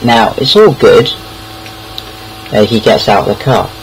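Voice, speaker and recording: A man's voice: lowish voice, lively intonation, a little slow, slight background noise, low-quality recording. A young British man casually telling anecdotes in a rambling, hesitant way, repeating words as he goes. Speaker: online storyteller.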